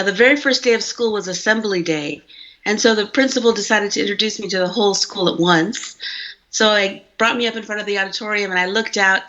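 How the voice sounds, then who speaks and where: Chatty woman on a muffled video call. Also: medium pitch. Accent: American. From India. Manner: chatty and animated, talks at length. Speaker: author visiting a school by video call. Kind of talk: casual talk to students.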